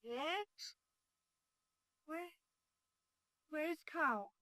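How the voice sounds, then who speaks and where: An actress playing a frightened young woman, speaking plain and hushed in a 1950s radio drama. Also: sits high, expressive, extremely slow, no room sound, studio quality, heavily acted. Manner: hushed, plain-spoken, ungrammatical.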